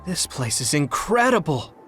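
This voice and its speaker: A man's voice: on the high side, big pitch swings, normal speed, a bit bright, soft background music. A man voicing an eager, naive young male recruit in a video game. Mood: amazed. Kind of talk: video game cutscene acting.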